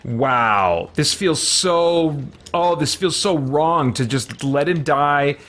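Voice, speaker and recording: A man's voice: a little high, expressive, takes it slowly, a bit bright. A man giving casual let's-play commentary, muttering to himself hesitantly and exclaiming mild oaths as he thinks aloud. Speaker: gaming video host.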